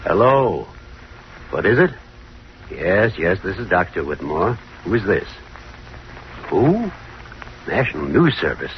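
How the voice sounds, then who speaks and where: An indignant university head in vintage radio drama style. His sputtering, self-important delivery swoops up and down in a very animated way. The old recording is dull and slightly noisy. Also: deep voice, takes it slowly, long pauses, exaggerated voice. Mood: irritated. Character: flustered, pompous university head, doctor.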